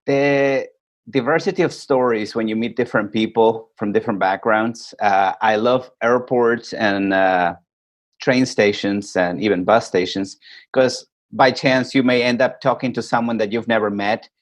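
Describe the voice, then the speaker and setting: Reflective man with a low voice. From Peru. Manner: reflective, unhurried storyteller. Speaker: podcast guest. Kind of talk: casual interview chat. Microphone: calling in remotely.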